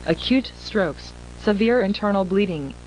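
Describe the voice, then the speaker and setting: A woman playing a stern emergency broadcast announcer in an analog horror video, reading grave warnings in a formal, impersonal, measured way. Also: medium pitch, animated, darkish tone, a little hiss or hum, natural voice, rough recording.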